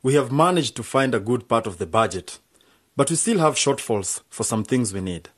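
An actor playing a calm, confident young man in a radio drama. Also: mid-range voice, normal speed, natural voice. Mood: steady.

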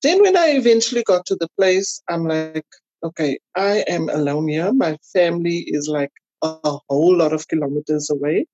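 A South African woman tells personal stories in a relaxed podcast interview. Her voice is mellow and husky, and she acts out remembered remarks as she goes. The recording is dry and somewhat dull, with little high-end detail. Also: moderate pace, no echo. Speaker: podcast guest. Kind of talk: casual interview chat, telling personal stories.

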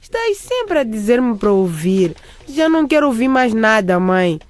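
An actress in a radio drama playing a sulky, resentful, confrontational teenage daughter. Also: female voice, on the high side, big pitch swings, normal speed.

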